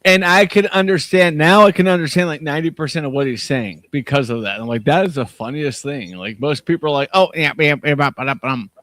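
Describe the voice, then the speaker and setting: A man's voice: a little high, quick pace, few pauses, fairly bright tone, dry recording. A man with a Midwestern accent co-hosting a casual beer chat, telling stories and laughing easily. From Midwestern United States. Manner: chatty storyteller, laughs often. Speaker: beer show co-host.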